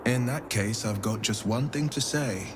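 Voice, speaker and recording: A man's voice: lowish voice, normal speed, close to an everyday voice. A man playing a loyal, good-natured young monster hunter in a video game, earnest and given to folksy phrases. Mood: reassuring. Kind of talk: video game character acting. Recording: clear background ambience noise.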